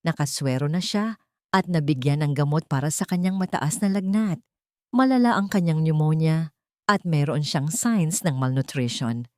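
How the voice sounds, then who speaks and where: Soft-spoken old doctor, read by a woman, polite and careful, story narration, dry sound. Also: low-pitched, animated, normal speed, no echo. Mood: gentle. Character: gentle, hesitant elderly doctor.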